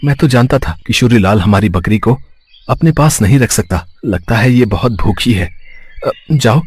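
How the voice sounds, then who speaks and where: A man voicing a kind, poor village husband, gentle, earnest and trusting, in a moral story. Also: mid-range voice, animated, normal speed, slightly rough, dry recording. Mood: reassuring.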